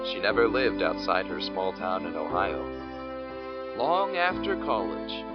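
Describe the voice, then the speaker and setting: A man narrating a scripted audio drama story. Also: mid-range voice. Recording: dull lo-fi recording under loud music. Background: loud guitar music.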